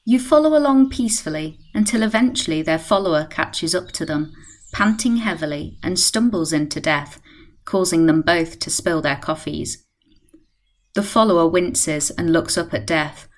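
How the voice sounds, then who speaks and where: A woman's voice: sits low, normal speed. A woman playing the composed narrator of a radio play, reading scripted present-tense storytelling. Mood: measured.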